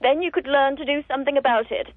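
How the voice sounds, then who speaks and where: An actress playing a blunt, resentful estranged wife in a radio comedy drama, speaking reproachfully. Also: female voice, sits high, fairly even intonation, quick pace, no echo, close to an everyday voice. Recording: tinny, phone-like, dry.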